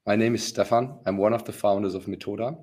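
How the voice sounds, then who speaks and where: A hoarse man hosting a business webinar, talking with many pauses, ums and restarts. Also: fairly low pitch, steady tone, darkish tone. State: rough, hoarse voice. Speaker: webinar host, company founder.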